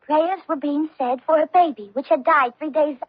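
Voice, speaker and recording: A woman's voice: high voice, moderate pace, no room sound, natural voice. An actress playing a devout, steadfast teenage farm girl held prisoner, earnest and resolute, in a radio drama. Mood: earnest, defiant. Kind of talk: old-time radio drama acting. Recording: dull, dry old radio recording.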